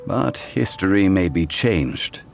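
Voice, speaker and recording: A man playing a solemn, composed ancient god in human form for a video game, speaking in a measured, formal, reflective way. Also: sits low, animated, creaky, full character voice. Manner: measured, formal, stately, philosophical. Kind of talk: scripted video game character acting.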